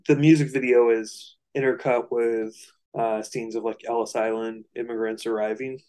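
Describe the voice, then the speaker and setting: A man co-hosting a casual music discussion, analytical and somewhat halting as he thinks aloud. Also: fairly low pitch, dark tone. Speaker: co-host of a music discussion show.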